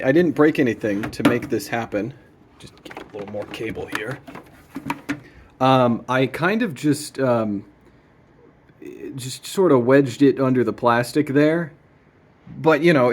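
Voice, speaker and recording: A man hosting a casual, unscripted gear demonstration at home, laid-back and playful with dry humour. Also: mid-range voice. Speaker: video host demonstrating vintage audio gear. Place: indoors in a room at home.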